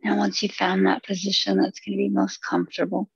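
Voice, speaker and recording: Gravelly, even-toned woman guiding meditation. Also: mid-range voice, dry recording, highs cut off. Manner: soothing, unhurried instruction with short phrases and long pauses. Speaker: meditation guide. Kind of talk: guided relaxation meditation.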